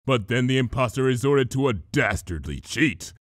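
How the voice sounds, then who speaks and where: A man's voice: mid-range voice, lively intonation, moderate pace, no echo, clean studio sound. A man reading a story aloud as narrator, in a scripted, dramatic storytelling style. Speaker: fan fiction reader, narrator.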